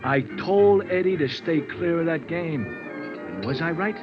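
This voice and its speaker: Music plays behind a dull old radio recording of a chatty American hipster barman. His voice is fairly high and swings widely as he rolls out streetwise slang and puffed-up vocabulary. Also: big pitch swings, measured pace. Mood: gossipy, knowing. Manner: breezy slangy patter with pompous big words. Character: chatty, self-improving hipster male bartender, breezy and streetwise. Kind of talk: 1950s American radio character acting.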